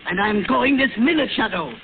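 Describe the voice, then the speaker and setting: A cackling, gloating mad scientist with a high, swooping voice that leaps all over the place, taunting his foe between bursts of manic laughter. It comes through a tinny, scratchy old radio broadcast buried under loud hiss. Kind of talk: 1940s radio acting.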